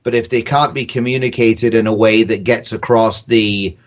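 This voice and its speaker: A man's voice: lowish voice, steady tone, normal speed. A man chatting as a podcast guest, thoughtful and hesitant, often circling back over his phrases. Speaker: audio drama creator, podcast guest. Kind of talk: casual interview chat.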